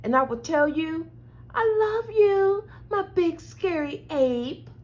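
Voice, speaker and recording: A woman reading the part of a loving, patient mother in a picture book, tender, soothing and expressive. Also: extremely high pitch, slow pace, pitched above the performer's own voice, full character voice. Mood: loving reassurance.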